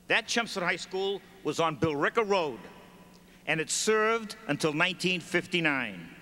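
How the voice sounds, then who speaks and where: Older man speaking in an echoing hall. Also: high voice. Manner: measured, reads from notes, lists in steady cadence. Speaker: commencement speaker, former school staff. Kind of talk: formal commencement address. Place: large gymnasium stage at a podium. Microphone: podium microphone. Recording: reverberant hall with faint ambience.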